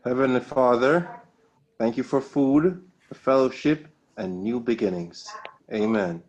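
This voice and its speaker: A man reading the part of a patient, caring expectant father in a measured way at a drama script read. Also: medium pitch, darkish tone, natural voice, rough recording. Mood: solemn. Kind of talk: dramatic table read of a web series script.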